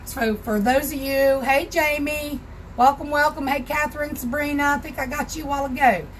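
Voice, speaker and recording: A hoarse, raspy-voiced woman with a Southern US accent hosts a casual crafting live stream from her home craft room. She talks in a fairly high voice and greets her viewers by name as she goes. There is a faint hiss behind her. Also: steady tone, normal speed, poor audio. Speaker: crafting tutorial host.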